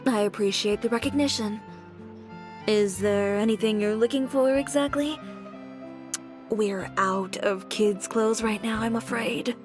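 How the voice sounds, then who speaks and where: A woman's voice: mid-range voice, lightly acted. Woman playing blunt, self-assured boutique designer. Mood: businesslike. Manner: brisk, matter-of-fact, composed. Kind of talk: video game character acting. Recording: music behind the voice.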